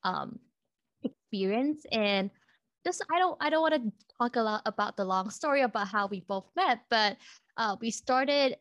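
A woman's voice: fairly high pitch, animated, normal speed, a bit bright, no room sound. Woman host, dry close sound. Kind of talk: panel moderation.

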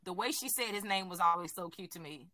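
A woman co-hosting a podcast in casual chat, animated and emphatic, swearing freely. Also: lowish voice, fast, no echo. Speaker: podcast co-host.

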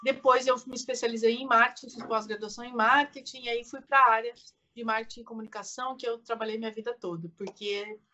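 A woman's voice: fairly high pitch, fairly even intonation, fast talker, bright, forceful tone. A woman in her forties with a southern Brazilian Portuguese accent, chatting informally as an interview guest. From Curitiba, Brazil. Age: 40s. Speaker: interview guest, content creator. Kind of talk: casual chat.

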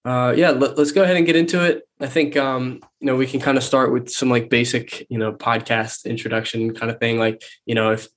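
A man's voice: mid-range voice, steady tone, brisk, few pauses. A man hosting a podcast, sounding stuffy and nasal from a cold, talking in a loose, rambling way with many fillers. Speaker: podcast host. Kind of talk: casual podcast interview.

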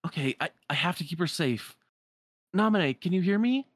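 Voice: mid-range voice, brisk, sharp tone, husky, dry recording, pitched above the performer's own voice. A performer voicing an earnest, flustered young boy hero who stammers with devotion in a retold game story. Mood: urgent, devoted.

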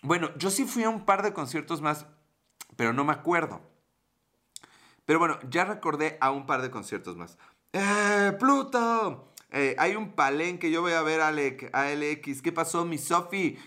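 Bright-voiced joking Mexican man. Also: on the high side, lively intonation, normal speed. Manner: joking, crude slang, rambling. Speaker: streamer. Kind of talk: casual livestream chat, reading viewer comments.

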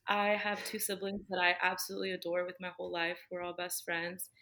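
A woman's voice: fairly low pitch, monotone, brisk, sharp tone, poor audio. Earnest young woman, filler-heavy chat. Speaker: podcast guest. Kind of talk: casual faith conversation.

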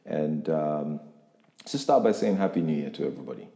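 Male podcast interviewer, deep and reflective, recorded in a reverberant room. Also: animated, a little fast, vocal fry, dark tone. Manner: reflective, motivational, with frequent restarts. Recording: echoey room with long reverb.

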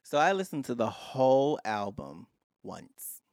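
A man with a medium-pitched voice co-hosts a relaxed chat show. He gives firm opinions and challenges others with questions. The recording is dry, as from a studio. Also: a little slow, dry recording. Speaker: podcast co-host. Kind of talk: casual pop culture chat. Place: podcast studio.

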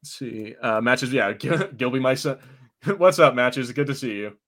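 A man co-hosts a podcast in a middling-pitched voice. He talks in a casual, informal review style and swears freely. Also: lively intonation, normal speed, fairly bright tone. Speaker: podcast co-host.

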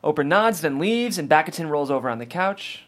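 A man's voice: a little high, animated, fairly quick. A man reading scripted stage directions as the narrator at a table read.

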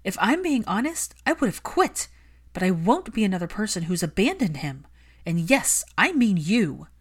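A woman's voice: mid-range voice, big pitch swings, normal speed, husky, pitched above the performer's own voice. A woman voicing an outspoken, emotional and indignant female caregiver in a story, with an emphatic, argumentative delivery. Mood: distressed, frustrated.

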